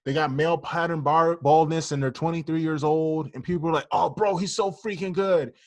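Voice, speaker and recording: A man's voice: a little high, fairly even intonation, brisk, no room sound. A man co-hosting a casual podcast, talking bluntly and jokingly and swearing freely. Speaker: podcast co-host.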